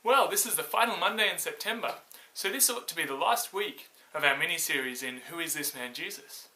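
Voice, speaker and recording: A man with a high, very bright voice tells a Bible story as its host. His delivery is theatrical and expressive, recorded in a roomy space with the mic at a distance. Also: a little fast. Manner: theatrical, expressive, acts out dialogue.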